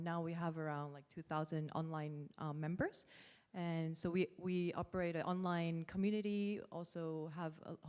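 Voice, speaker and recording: Low, clear-voiced Korean woman. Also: a little mellow. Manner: hesitant, with many fillers. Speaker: startup founder, panelist. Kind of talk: panel self-introduction.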